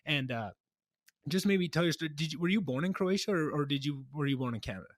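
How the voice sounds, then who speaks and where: Fast-talking young male podcast host. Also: fairly high pitch, animated, dry recording, studio quality. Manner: chatty, rambling questions with restarts. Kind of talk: casual interview conversation.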